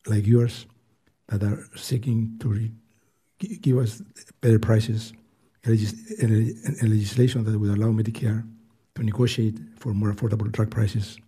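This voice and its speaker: Older Greek-accented man, 80, hearing witness; low, hushed, husky voice, halting delivery. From Greece. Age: 80s. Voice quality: soft, weak voice. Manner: earnest, halting, with restarts. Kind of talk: reading prepared testimony. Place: hearing room.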